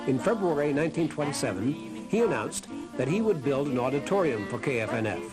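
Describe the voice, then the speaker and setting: A man's voice: medium pitch, sing-song, fairly quick, poor audio. A man reading a scripted historical documentary narration. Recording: narration over background music. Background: music.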